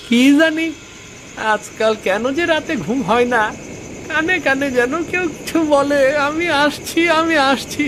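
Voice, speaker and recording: A guilt-haunted village villain played by a man mutters to himself in a jittery cartoon style. The voice is extremely high for a male, with clear outdoor noise behind it. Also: a little slow. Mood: nervous, paranoid. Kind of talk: Bengali cartoon villain acting.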